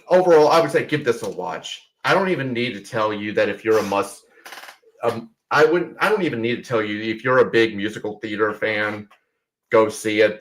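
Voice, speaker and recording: A man's voice: lowish voice, fairly bright tone, rough recording. A man on a video call giving a rambling, enthusiastic film review with many restarts. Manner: rambling, full of restarts and fillers. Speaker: panelist. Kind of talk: casual film review discussion.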